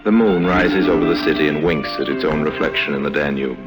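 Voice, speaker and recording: A very low-pitched, worldly male con artist speaks with sly, self-assured irony. He tells his story in an unhurried, wry way. It is a vintage radio drama recording with music playing clearly behind him. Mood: dryly amused. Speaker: lead actor and narrator. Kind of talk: 1950s radio drama narration and dialogue.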